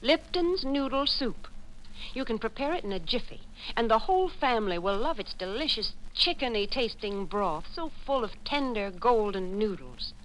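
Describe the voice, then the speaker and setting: A woman's voice: animated, normal speed, a bit bright. A woman announcer giving a friendly, folksy sponsor message in 1940s radio style. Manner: friendly, folksy sales pitch. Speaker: commercial announcer. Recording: noisy 1940s radio recording.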